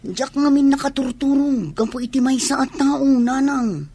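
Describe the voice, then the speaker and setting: Husky, highish lovesick young romantic voiced by a woman. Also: moderate pace, warm tone. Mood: troubled. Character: lovesick, earnest grown child pleading and pining. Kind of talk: Ilocano radio comedy-drama acting.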